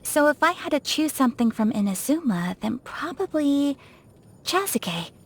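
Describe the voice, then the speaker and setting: A woman's voice: high voice, big pitch swings, moderate pace. A woman voicing a refined, reserved young noblewoman of a samurai clan in a video game, speaking formally and politely with poise. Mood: reserved, wistful. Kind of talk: scripted game character voice lines.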